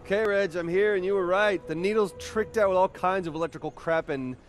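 An actor playing a cocky, sarcastic young American rebel with superpowers in a video game, flippant and slangy. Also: male voice, high voice, a little fast, close to an everyday voice. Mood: brash, wry. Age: young adult. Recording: game music behind the voice.

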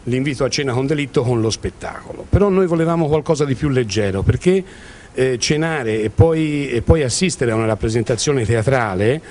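A man's voice: medium pitch, brisk, a little mellow. A man gives informal interview answers into a handheld microphone, sometimes repeating words as he searches. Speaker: theatre company member being interviewed. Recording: clearly noisy room ambience.

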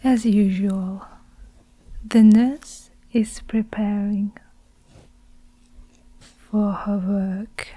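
Very slow, whispering young woman. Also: mid-range voice, fairly even intonation, poor audio. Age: young adult. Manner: slow, hushed, deliberate, with long pauses. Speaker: ASMR performer narrating a story. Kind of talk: whispered ASMR story narration.

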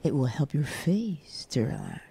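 Low, very slow, breathy woman's voice. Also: wildly swooping pitch, warm tone. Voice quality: breathy, often near a whisper. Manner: soothing, unhurried, long pauses between short instructions. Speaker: guided meditation host. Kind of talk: guided sleep meditation.

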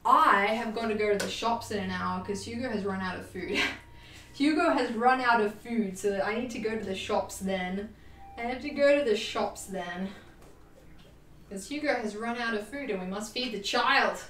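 A young Australian woman chatting to her livestream viewers, animated and self-mocking, laughing often. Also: medium pitch, normal speed, slight background noise. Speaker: livestream host. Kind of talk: casual livestream chat. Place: home sewing room. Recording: roomy, distant, faint music behind.

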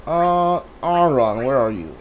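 A gamer giving casual playthrough commentary, thinking aloud in halting fragments over a game. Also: mid-range voice, sing-song, slight background noise. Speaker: gamer, streamer. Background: game music.

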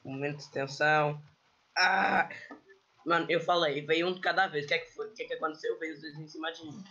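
A man's voice: a little high, fairly even intonation, brisk, sharp tone, slightly rough. A man from Portugal with a European Portuguese accent, chatting dryly while gaming with friends. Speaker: co-player friend. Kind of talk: casual gameplay chat. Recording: dull lo-fi voice chat.

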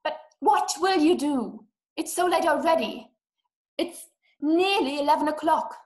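A woman's voice: high-pitched, unhurried, a little mellow. A young woman playing an anxious, haughty, bedridden wife in a drama, agitated and imperious. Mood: insistent. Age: young adult. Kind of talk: radio-play acting over video call. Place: small room indoors. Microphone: webcam video call.

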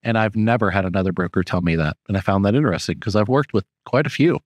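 A man's voice: lowish voice, fast talker, no echo. A man co-hosting a podcast asks rambling, self-correcting questions in casual talk at a studio mic. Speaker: podcast co-host.

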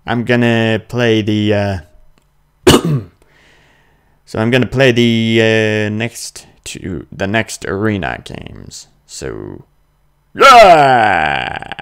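A man in his twenties doing casual, excitable gameplay commentary full of exclamations and mild crude language. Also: lowish voice, extremely slow, vocal fry, long pauses, clean tone. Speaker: gaming video host.

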